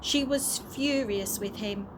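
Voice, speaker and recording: A woman reading a children's chapter book aloud as an expressive storyteller. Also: mid-range voice, slow. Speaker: early years practitioner reading a story. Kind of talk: children's audiobook read aloud. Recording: noisy amateur home recording.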